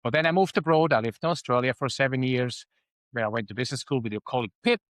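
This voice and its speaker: A man's voice: mid-range voice, fast talker, a bit bright, dry recording. Swiss man in his fifties, dry sound. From Switzerland. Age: 50s. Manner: reflective, with frequent ums and uhs. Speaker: guest. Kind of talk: casual interview talk.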